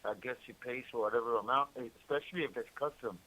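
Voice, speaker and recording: A man's voice: mid-range voice, fast, bright, forceful tone, poor audio. A man calling by phone from prison, chatting casually in slangy, repetitive bursts. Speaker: incarcerated caller. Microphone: phone call.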